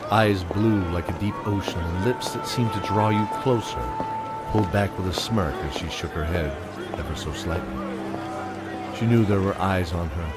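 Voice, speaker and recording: Deep-voiced male narrator over music. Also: normal speed. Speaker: narrator and announcer. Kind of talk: scripted dramatic narration.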